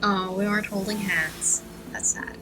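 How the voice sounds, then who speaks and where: A woman's voice: mid-range voice, steady tone, normal speed, background noise. A woman streaming a game, playful and casual, commenting and reading dialogue choices aloud. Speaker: gaming streamer. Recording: game music behind the voice.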